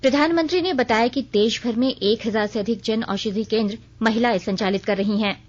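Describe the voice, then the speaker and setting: High-voiced woman reading a formal news bulletin. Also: brisk, muffled recording. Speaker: news reader.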